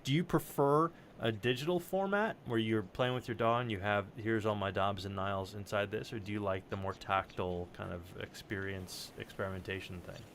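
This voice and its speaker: A low-pitched man talks in a loose, digressive way, like a co-host on a casual stream. He stops and starts as he goes and swears freely. There is faint ambient noise behind him. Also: steady tone, a little fast, few pauses, fairly bright tone. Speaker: podcast co-host. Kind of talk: casual, rambling conversation.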